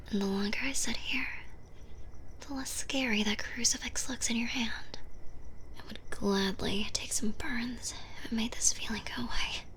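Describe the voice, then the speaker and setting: A woman playing a seductive, blood-craving modern vampire in an intimate, wry ASMR roleplay, speaking in breathy whispers. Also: medium pitch, a bit bright, slight background noise, lightly acted. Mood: desperate craving.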